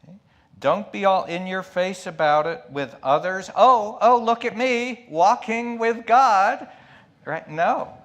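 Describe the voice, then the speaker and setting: A man's voice: high-pitched, takes it slowly, fairly bright tone, muffled recording. A middle-aged or older man giving a sermon as a lay preacher, reflective and emphatic, posing questions and dropping in asides. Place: church pulpit. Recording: clear background hum and noise.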